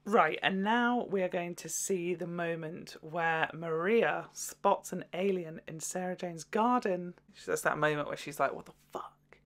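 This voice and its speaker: A woman's voice: lowish voice, a little slow, few pauses, faint music behind. A woman hosting a casual, chatty video review, gushing and jokey with sweary asides. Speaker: video host.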